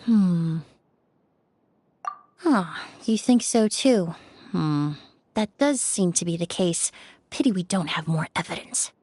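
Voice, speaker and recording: A woman voicing a polite, composed young forensic specialist in a video game, measured, courteous and thoughtful. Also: on the low side, animated, darkish tone.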